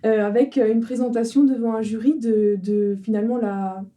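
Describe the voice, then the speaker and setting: A woman's voice: fairly high pitch, steady tone, normal speed, warm tone, far from the mic. A twenty-year-old woman from Alsace, a startup cofounder answering interview questions with hesitant fillers. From France, Alsace.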